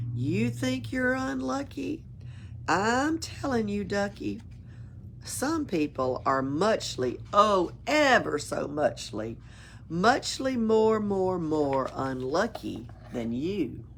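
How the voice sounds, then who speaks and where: A woman reading a children's storybook aloud in an expressive, playful way, adding her own asides. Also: on the low side, slow, gravelly, slight background noise. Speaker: story reader at a library. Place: library.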